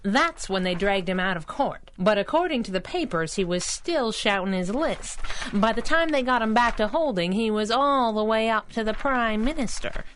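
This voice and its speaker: An actress playing a plucky young crime-fighting sidekick in a 1930s-style radio adventure, slangy, cheeky and teasing. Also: female voice, fairly high pitch, expressive, moderate pace, a bit bright, a little hiss or hum. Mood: wry.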